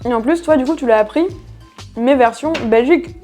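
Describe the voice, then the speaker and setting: A woman's voice: sits high, brisk, a little mellow, soft background music. A woman interviewing a guest, asking curious questions in a casual way.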